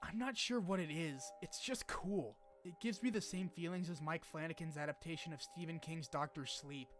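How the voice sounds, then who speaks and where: A man's voice: fairly high pitch, moderate pace. A man narrating a scripted video essay about a game in an informal, sweary, opinionated way. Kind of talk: scripted game retrospective narration with casual asides. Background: background music.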